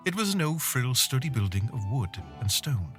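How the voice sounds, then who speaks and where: A man playing a storybook narrator, telling a scripted holiday tale in a deliberate, dramatic way. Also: medium pitch, sing-song, music in the background, lightly acted. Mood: descriptive.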